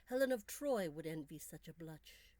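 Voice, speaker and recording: A woman voicing a charming, intense Victorian gentleman in a storybook, courtly, romantic and deliberate. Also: low-pitched, lively intonation, no room sound. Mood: intimate. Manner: courtly, deliberate, sometimes hushed.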